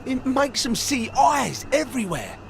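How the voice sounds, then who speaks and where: Creaky high-voiced nervous English constable. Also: male voice, sing-song, a little slow, a little hiss or hum, full character voice. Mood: nervous, pleading. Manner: stammering, colloquial, deferential. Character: nervous, deferential working-class male English police constable.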